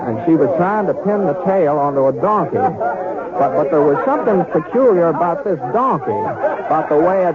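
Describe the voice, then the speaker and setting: An actor playing a homespun western storyteller, narrating a radio drama in a halting, conversational way. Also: male voice, fairly high pitch. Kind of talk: old-time radio storytelling. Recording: tinny radio sound with crowd noise.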